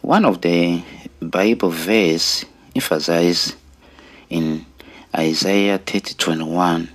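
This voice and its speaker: Man, forties, Rwandan accent, deep and unhurried, earnest testimony delivery. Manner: earnest, deliberate, with pauses between short phrases. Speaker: storyteller sharing own life story. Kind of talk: personal testimony.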